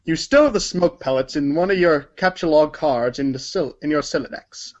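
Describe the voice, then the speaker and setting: A man's voice: a little high, moderate pace. A man reading scripted narration for a read-along story performance, in a dull recording.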